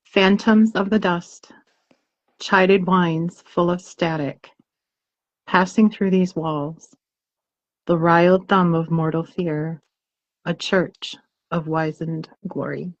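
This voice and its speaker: A woman's voice: fairly low pitch, steady tone, slow, pauses often, muffled recording. A woman co-hosting a poetry reading, talking casually and expressively as she searches for her words.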